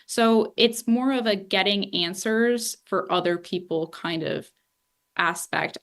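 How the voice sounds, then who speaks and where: A woman's voice: lowish voice, fairly bright tone. A woman in her twenties from Northeast Ohio, a political journalist speaking candidly and animatedly in a lecture room, with many asides like "you know". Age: 20s. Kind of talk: public talk answering questions.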